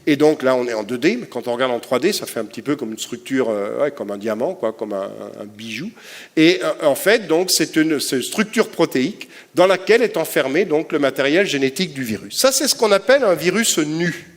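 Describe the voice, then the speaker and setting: A man's voice: fairly high pitch, expressive, a little fast. A man giving a science lecture to an audience, explaining step by step with everyday comparisons and frequent verbal fillers. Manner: explanatory, uses everyday metaphors, frequent "hein" and "euh". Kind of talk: public science lecture with slides. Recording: long hall reverb.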